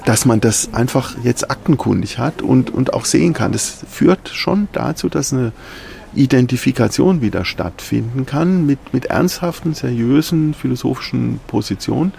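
A man's voice: mid-range voice, animated, normal speed, vocal fry, mellow, a little hiss or hum. A man, a university professor, recalls his student years in a courtyard outdoors, hesitant and often ending with "ja". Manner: hesitant, repeating words, tag "ja". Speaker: professor interviewee. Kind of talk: casual recollection.

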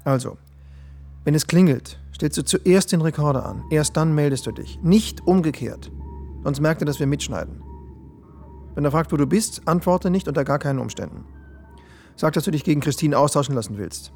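A man's voice: on the high side, lively intonation, normal speed, gravelly, a little mellow, faint music behind. Practical, lighter-voiced male technician. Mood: instructive, confident. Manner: matter-of-fact, instructive. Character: practical, reassuring male communications technician of conspirators' group. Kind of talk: radio drama acting.

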